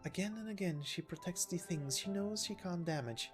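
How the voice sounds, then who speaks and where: A woman's voice: on the low side, moderate pace, music in the background, close to an everyday voice. A woman voicing a playful, mocking schemer from a game story, musing and taunting. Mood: cool musing.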